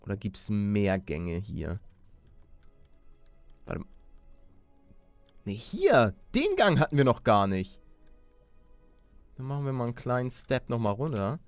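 A man's voice: mid-range voice, huge pitch swings, measured pace, pauses often, dry recording, faint music behind. A man hosting a Let's Play, thinking aloud casually in slang peppered with English gaming words. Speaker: gaming video host.

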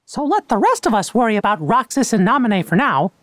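A man's voice: high voice, sing-song, fast talker, fairly bright tone, no room sound. A man voicing a small, kindly cartoon king who talks in a folksy, reassuring way in a video game.

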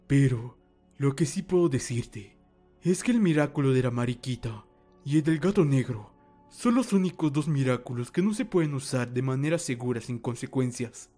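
A man playing a calm, wise old mentor in a fan dub, speaking formal Latin American Spanish in a measured, reassuring way. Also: mid-range voice, lightly acted. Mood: calm, grave.

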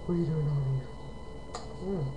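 A young adult vlogger rambling excitably in a home webcam chat, jumping between topics, recorded on a built-in webcam mic in a small room. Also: medium pitch, a little fast, roomy sound, lo-fi sound, noisy background.

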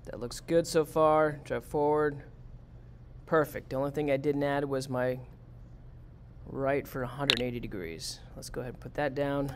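A male teacher explaining a coding exercise step by step in a plain, practical way. His voice sits at an ordinary middle pitch. A faint hiss runs under his computer-mic recording. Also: fairly even intonation, normal speed. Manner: plain, step-by-step, talks students through it. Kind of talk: screen-recorded coding lesson.

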